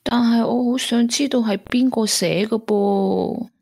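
An actress playing a gentle, grieving young woman weighed down by guilt, in a Hong Kong Cantonese radio drama. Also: a little high, fairly even intonation, unhurried, no echo, studio quality. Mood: pained, torn.

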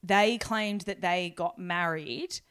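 A woman's voice: fairly low pitch, takes it slowly, fairly bright tone, smooth. Hesitant woman host asking questions. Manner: hesitant questioning, many restarts. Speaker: podcast host. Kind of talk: casual podcast chat.

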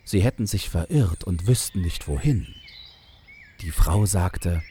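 A man narrates a fairy tale in a very deep, soft and dark voice. He takes his time with each phrase, as a measured storyteller. There is faint noise behind him. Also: expressive.

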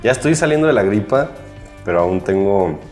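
A man with a Mexican accent co-hosting a podcast in casual, teasing banter, slightly hoarse and congested from a cold. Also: fairly low pitch, lively intonation, normal speed, darkish tone, faint music behind. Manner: teasing, interjecting. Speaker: podcast co-host.

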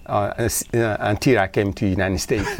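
A middle-aged man from the Congo, a guest talking in a casual interview. He pauses often and fills the gaps with long hesitations. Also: fairly low pitch, steady tone. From Democratic Republic of Congo. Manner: halting, many filler pauses. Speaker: guest, environmentalist.

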